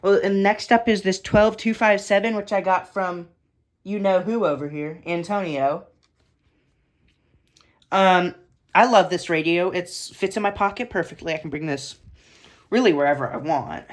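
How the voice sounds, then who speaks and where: Low-voiced woman giving a casual show-and-tell. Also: normal speed, pauses often. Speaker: vlogger showing a radio collection.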